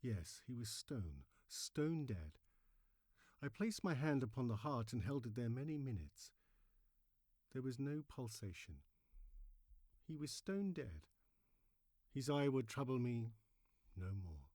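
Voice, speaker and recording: A man playing a nervous, gleefully cunning madman who confesses to murder in a dramatic horror story reading, with halting, theatrical phrasing and emphatic repetition. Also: mid-range voice, sing-song, a little mellow, no room sound, clean studio sound. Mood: grimly satisfied.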